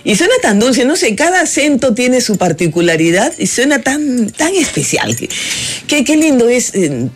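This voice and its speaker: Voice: mid-range voice, very animated, normal speed, husky. An interview host, speaking effusively and admiringly to a guest. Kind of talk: conversational interview.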